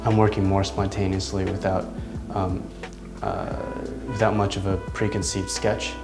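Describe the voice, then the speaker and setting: A man in his thirties, an artist, talking reflectively in a seated interview in his studio, pausing often with ums and restarts. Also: low voice, little pitch movement, vocal fry, music in the background. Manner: thoughtful, halting, many ums and restarts. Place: large indoor art studio. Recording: echoey studio room.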